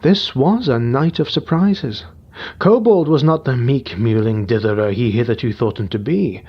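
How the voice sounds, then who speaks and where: A man narrating a dark fantasy audiobook in a steady, dramatic storytelling manner. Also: mid-range voice, normal speed, slightly rough. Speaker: narrator and writer. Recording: dull lo-fi recording.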